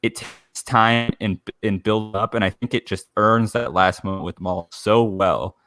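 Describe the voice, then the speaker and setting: Low-voiced man, emphatic fan talk. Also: a little slow, a bit bright, no room sound. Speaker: podcast panelist. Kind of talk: casual fan discussion.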